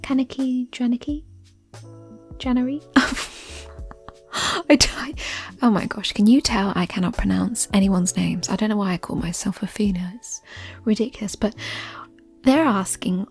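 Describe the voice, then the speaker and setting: Soft, breathy woman in ASMR chat. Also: medium pitch, normal speed, pauses often. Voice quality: soft, breathy, often near-whispered. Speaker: ASMR creator answering listener questions. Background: music.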